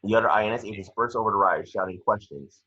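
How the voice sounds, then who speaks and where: Low, muffled man narrating a script. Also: fast talker, bright, forceful tone, husky. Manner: matter-of-fact, with stumbles over words. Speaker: narrator reading action descriptions. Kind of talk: scripted narration at a table read.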